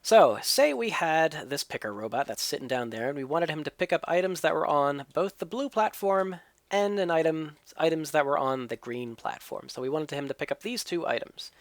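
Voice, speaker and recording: A man's voice: on the high side, animated, moderate pace, no echo. Man with a stuffy cold explaining gameplay. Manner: explanatory, thinking aloud. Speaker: let's play host. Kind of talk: gameplay commentary and tutorial.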